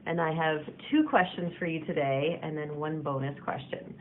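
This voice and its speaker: A woman author posing quiz questions to the listener in a relaxed, conversational way. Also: sits low, moderate pace. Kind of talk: casual video message. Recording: gravelly phone-like audio with faint hiss.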